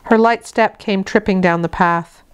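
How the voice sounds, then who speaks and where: A woman reading a literary novel aloud as expressive narration, with measured, deliberate phrasing. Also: medium pitch, clean tone, lo-fi sound. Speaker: audiobook reader.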